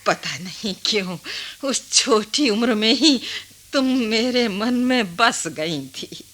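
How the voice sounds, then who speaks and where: An actress playing a wise, affectionate old village grandmother in a Hindi radio play, slow and emphatic, gently chiding. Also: on the high side, expressive, full character voice. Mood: affectionate, teasing.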